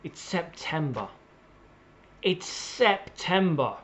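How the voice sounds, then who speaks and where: Slow, blunt, exasperated man ranting. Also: a little high, sing-song, fairly bright tone. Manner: emphatic, with deliberate pauses and repetition. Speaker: political commentator. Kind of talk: opinionated solo political rant.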